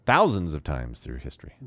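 A man's voice: rumbling low, normal speed, no room sound. A man co-hosting a political commentary show, talking emphatically. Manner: emphatic, lively. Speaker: co-host and editor.

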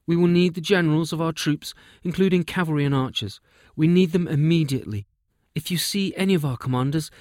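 Formal anxious queen giving orders, man putting her on, slow and raised. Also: a little high, darkish tone, dry recording, studio quality, pitched above the performer's own voice, natural voice. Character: worried queen of a fantasy kingdom.

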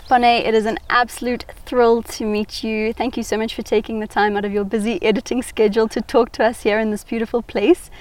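A woman co-host with a slightly raised pitch, asking a guest polite questions. She phrases each question in a courteous, welcoming way. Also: little pitch movement, a little fast, few pauses. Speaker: podcast co-host. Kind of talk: interview questions.

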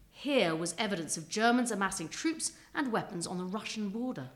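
A woman's voice: mid-range voice, fairly bright tone. A woman narrating a scripted historical story as a storyteller, with a dramatic, suspenseful delivery.

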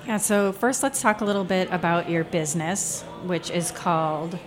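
Brisk woman co-host, low voice. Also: faint music behind. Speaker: podcast co-host. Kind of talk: casual interview questions. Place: hotel studio.

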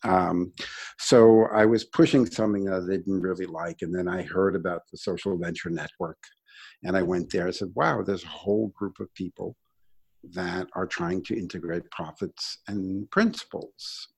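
A middle-aged or older American man from Brooklyn telling his own story in a conversational, reflective interview style with hesitant pauses. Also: deep voice, fairly even intonation, vocal fry, darkish tone, husky. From Brooklyn, New York, United States. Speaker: interview guest, sustainability entrepreneur.

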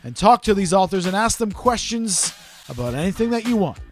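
A man's voice: high voice, sing-song, fairly quick, faint music behind. A man hosting a casual podcast interview in a studio, chatty and playful. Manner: chatty, playful promo delivery. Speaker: podcast host.

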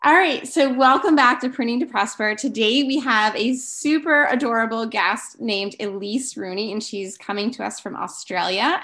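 A woman's voice: on the high side, a bit bright. A woman hosting her own podcast, upbeat and enthusiastic as she interviews a guest. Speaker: podcast host and declutter coach.

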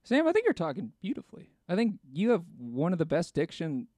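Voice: medium pitch, huge pitch swings, fairly quick, mellow, no echo. A podcast co-host in a studio chatting playfully and riffing on his partner's jokes. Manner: playful, riffs along with jokes. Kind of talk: casual comedic chat.

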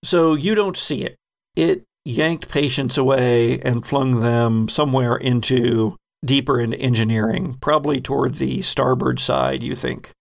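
Slow, gravelly male game master. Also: medium pitch, steady tone. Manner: unhurried, descriptive narration with sound effects. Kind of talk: narrating a tabletop game.

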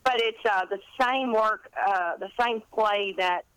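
A woman in her fifties telling stories in a chatty, animated, rambling and self-deprecating way, as an interview guest. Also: mid-range voice, a little slow, clean tone, dry recording, poor audio. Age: 50s. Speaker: guest author and college English teacher.

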